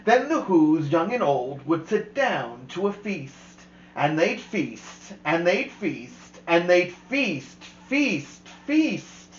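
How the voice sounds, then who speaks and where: A man hosting a storytime, reading a rhyming picture book aloud to a child in a slow, expressive way, stretching out the rhymes. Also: sits high, slight background noise. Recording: roomy, slightly dull home recording.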